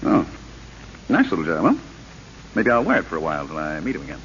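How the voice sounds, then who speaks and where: A man's voice: sits low, wildly swooping pitch, fast talker, fairly bright tone, full character voice. An actor playing a tough, cocky gambler with slangy tough-guy talk in an old radio drama, on a muffled recording with hiss. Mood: breezy. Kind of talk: 1930s-40s radio drama acting.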